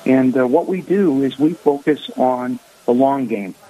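A man's voice: medium pitch, measured pace, warm tone, husky, poor audio. A man in his sixties from the Boston area with a Boston accent, a guest speaking earnestly in a radio interview.

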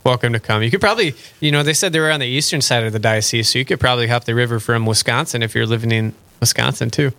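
A man hosting a radio talk show speaks with a bright, ringing tone. He chats in a loose, friendly way and laughs easily at his own jokes. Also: mid-range voice, very fast, few pauses. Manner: chatty, self-deprecating, laughs easily. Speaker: radio host. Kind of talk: casual faith radio chat.

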